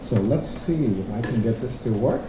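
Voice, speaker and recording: A man's voice: mid-range voice, expressive, moderate pace, far from the mic, noisy background. Man from Hong Kong, echoey hall. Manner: rambling, self-deprecating, restarts often. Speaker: guest speaker. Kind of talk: conference talk. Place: large lecture hall.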